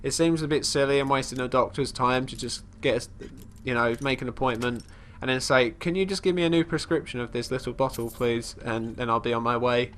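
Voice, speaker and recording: Stuffy-nosed man, mid-pitched, casual rambling game commentary with a faint hiss behind. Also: fairly even intonation, fairly quick, hardly any pauses, fairly bright tone. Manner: rambling, chatty, often restarting sentences; talks about his character in third person. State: congested. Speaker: let's play host.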